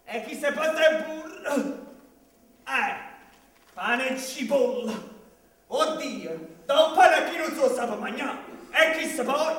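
A man's voice: very high voice, takes it slowly, pauses often, fairly bright tone, exaggerated voice. A man playing a grumbling, hungry commedia dell'arte servant with a lilting, sing-song way of complaining. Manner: complaining, lilting, breaks into sung refrains. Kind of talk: commedia dell'arte radio theatre acting. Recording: very echoey room, distant mic.

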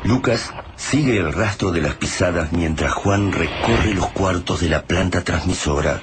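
An actor as the solemn, dramatic storyteller of a bleak apocalyptic radio drama, reading literary scripted narration. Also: male voice, low voice, measured pace, heavy background noise. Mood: somber.

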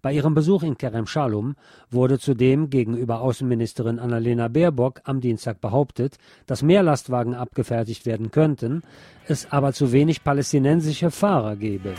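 A man reading scripted radio news in a measured, formal manner. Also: medium pitch, dark tone, dry recording.

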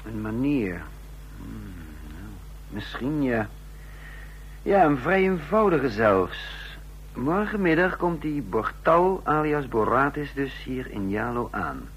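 A man's voice: medium pitch, very animated, slow, husky, exaggerated voice, poor audio. An actor playing an uneasy impostor secret agent in a Dutch radio drama, hesitant and musing as he tells his story. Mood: calculating. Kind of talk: Dutch radio drama acting and first-person narration.

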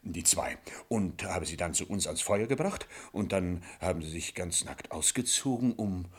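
An actor playing a simple, boastful young peasant suitor in a vintage radio play, stammering and rambling naively with husky emphasis. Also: male voice, deep voice, lively intonation, normal speed. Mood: eager, rambling. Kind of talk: 1950s German radio play acting. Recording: vintage 1950s radio recording.

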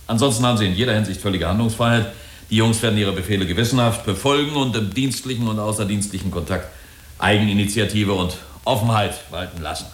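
An actor playing a glib company representative in a German radio drama, polite, wordy and officious. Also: male voice, lowish voice, fast talker, close to an everyday voice. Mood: jovial, officious. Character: glib, jovial, evasive male company representative. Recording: roomy, echoing studio scene.

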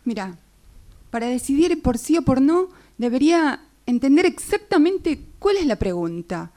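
A woman acting a hurt, suspicious Argentine girlfriend in a radio play. Her voice is soft-toned and mellow, with very lively intonation. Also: sits high, moderate pace. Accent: Argentine Spanish. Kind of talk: radio drama workshop exercise.

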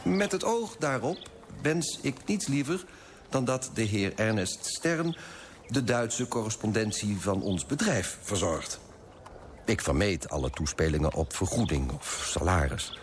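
An actor playing a pompous, self-righteous coffee broker who moralises emphatically in a radio drama monologue. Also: male voice, on the low side, expressive, unhurried, slight background noise. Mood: smug, scheming.

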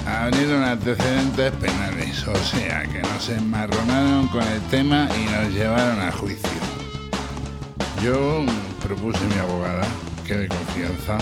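Un hombre con acento andaluz, miembro de un grupo, que cuenta sus peripecias en tono coloquial y desenfadado. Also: male voice, lowish voice, a little slow, loud music behind the voice. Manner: laid-back, slangy storytelling. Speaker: band member recounting events. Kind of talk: documentary-style testimony.

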